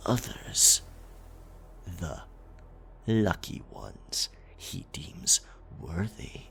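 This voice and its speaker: A man playing a dominant, predatory werecat pirate captain with a stage pirate accent, telling a scary story in a theatrical, gravelly ASMR roleplay style. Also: low voice, huge pitch swings, a bit bright, a little hiss or hum, heavily acted. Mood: sinister, teasing. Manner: slow, theatrical, breathy whispering that swells into growled taunts.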